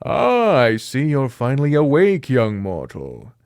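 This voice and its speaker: A man's voice: medium pitch, huge pitch swings, a little slow, a little mellow, clear, resonant tone. A man voicing a pompous, easily offended tiny male snake demon with haughty, dramatic delivery.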